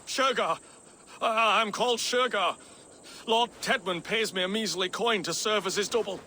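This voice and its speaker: High, stammering, cowardly ex-monk. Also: male voice, moderate pace, pushed and bright, a little hiss or hum. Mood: frightened, pleading. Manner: stammering, pleading, nervous. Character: cowardly babbling man, former monk serving as a lord's double. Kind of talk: video game voice acting.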